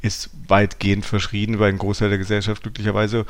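A man with a fairly low voice talks as a podcast co-host. He is conversational and questioning, probing the ideas as he goes. The recording is dry, with hardly any room sound. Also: completely flat delivery, fairly quick, no room sound. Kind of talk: conversational discussion.

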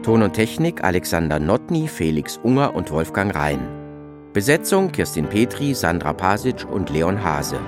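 A man's voice: deep voice, very animated, normal speed, music in the background. A man reading out the title and credits of a radio play as a scripted announcement.

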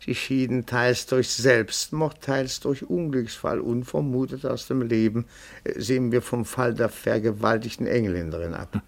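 An actor playing a timid but dogged petit-bourgeois amateur detective in a radio play, formal, meticulous and deferential, given to rapturous declamation. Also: male voice, mid-range voice, moderate pace, close to an everyday voice. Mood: pedantically insistent. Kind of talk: German radio play acting.

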